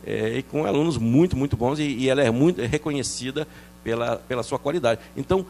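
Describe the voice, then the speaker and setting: Fast-talking middle-aged male scientist. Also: mid-range voice, creaky, a little hiss or hum. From France. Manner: fast, animated, with many restarts and fillers. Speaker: researcher guest. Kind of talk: TV interview, explaining science.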